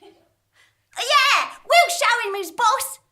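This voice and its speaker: A brash, sneering bandit sidekick in a comic radio play. The voice is extremely high and wildly animated. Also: female voice, very slow, background noise, exaggerated voice. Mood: brash menace. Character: gleeful, florid outlaw henchman, brash and sneering. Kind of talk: comic stage radio play before an audience.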